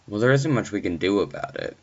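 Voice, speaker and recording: A man voices a male police dispatcher in a scary tale. He sounds businesslike and reassuring, pitched up, on a slightly dull recording. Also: expressive, fairly quick, a little mellow. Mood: dismissive.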